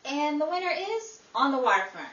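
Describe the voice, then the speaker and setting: A young woman playing a brisk, declamatory presenter in a 1950s-style radio show. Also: sits high, very animated, dull audio. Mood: presenting. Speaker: actress and award presenter. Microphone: far camera. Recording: echoey room, distant mic, background noise.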